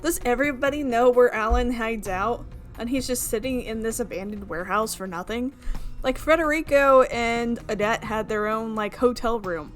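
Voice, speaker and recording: A woman doing expressive let's play commentary, mixing dramatic reading of a visual novel with casual, reactive asides. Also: on the high side, normal speed. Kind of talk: game commentary mixed with dramatic read-aloud. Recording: music playing behind the voice.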